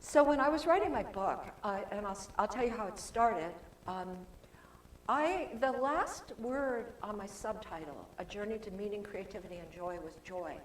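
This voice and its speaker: A soft-spoken woman giving a chatty, informal talk as a guest speaker at a church service, with plenty of restarts. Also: medium pitch, expressive, moderate pace. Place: church sanctuary. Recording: echoey hall with faint room noise.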